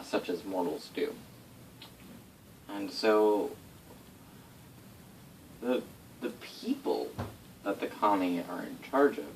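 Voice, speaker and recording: A man's voice: on the low side, vocal fry, darkish tone. A man informally telling tabletop game lore to players as game master, in a casual, rambling way with swearing and self-corrections. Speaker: game master recounting setting history to players. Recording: distant mic with faint background noise.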